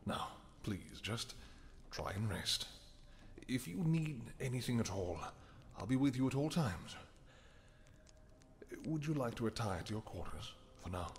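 A man's voice: mid-range voice, sing-song, a little slow. A man voicing a deferential male royal servant who speaks politely and formally in an audio roleplay. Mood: soothing. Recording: long reverb, faint hiss.

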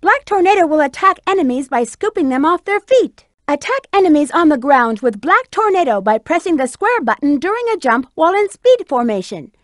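High-pitched, sassy bat treasure huntress. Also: female voice, sing-song, fairly quick, few pauses, dry recording, clean studio sound. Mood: instructive. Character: sassy, flirty female bat treasure hunter. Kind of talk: video game character voice acting.

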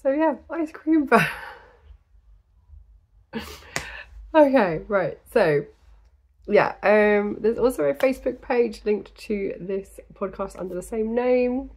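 A woman in her thirties from the UK, hosting a crafting podcast in a small indoor room. She chats to the camera in a rambling way, full of ums and little laughs, and her voice is going, slightly gravelly. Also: a little high, lively intonation, slow, long pauses, a little mellow. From United Kingdom. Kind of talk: casual chat to camera.

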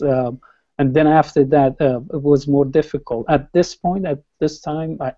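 A man in his forties from Iran, talking in a halting way with many pauses and fillers on a video call, using an earphone headset at home. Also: mid-range voice, warm tone, no room sound. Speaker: panel guest writer. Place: home room by brick wall, indoors. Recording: dull muffled video call audio.

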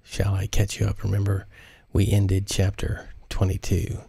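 A man reading his own novel aloud in a relaxed live audiobook stream, with chatty asides. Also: sits low, moderate pace, vocal fry, husky, dry recording, clean studio sound.

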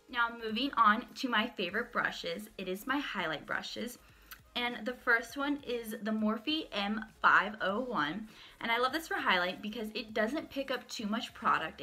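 A woman's voice: mid-range voice, bright, forceful tone. A young woman in her twenties, a beauty vlogger chatting enthusiastically through her favorite makeup brushes while sick, her voice cracking at times. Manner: chatty, enthusiastic, shows each brush to camera. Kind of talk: casual product walkthrough. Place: small room at home, indoors.

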